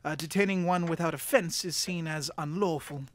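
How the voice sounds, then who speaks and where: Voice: mid-range voice, moderate pace, a little hiss or hum, close to an everyday voice. An actor playing a meek, long-suffering manservant in a comedy audio drama, hesitant and formally polite. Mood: deferential.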